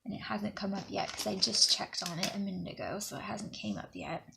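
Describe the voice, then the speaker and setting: A woman from Alabama, chatting casually while decorating a planner, sniffly, rambling and dropping to soft near-whispers as she works. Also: medium pitch, a little fast, creaky, noisy background. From Alabama, USA. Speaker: planner vlogger. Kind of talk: casual crafting talk to camera.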